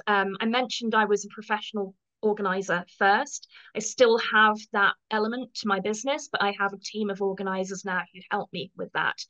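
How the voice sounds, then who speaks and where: A woman's voice: medium pitch, fairly bright tone, highs cut off. English woman, fifties, English accent, chatty interview guest, friendly, lots of ums. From England. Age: 50s. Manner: friendly, chatty, with frequent ums and self-deprecating asides. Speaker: guest, professional photo organizer. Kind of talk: casual interview chat.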